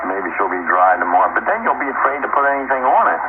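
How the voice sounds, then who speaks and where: A man's voice: medium pitch, big pitch swings, fast. A man making easygoing, casual conversation over the radio. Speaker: amateur radio operator. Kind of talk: casual radio round-table chat. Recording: tinny, noisy shortwave radio transmission. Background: radio static.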